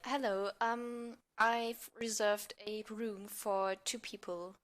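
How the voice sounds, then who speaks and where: Woman improvising, mid-pitched voice. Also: steady tone, takes it slowly. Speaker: podcast guest, amateur theatre performer. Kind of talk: improvised role-play and mock product pitching.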